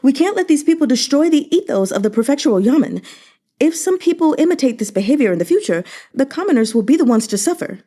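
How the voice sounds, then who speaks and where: A woman's voice: sits high, very animated, quick pace, mellow, voice pushed up. A woman voicing a grave, earnest male official in a historical novel, speaking formally and with urgency. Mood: urgent, indignant.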